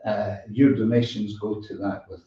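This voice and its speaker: A man's voice: fairly low pitch, flat delivery, normal speed. Guy hosting a casual music show, kinda hesitant and rambly, muffled and super echoey. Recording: muffled, echoey, far from mic.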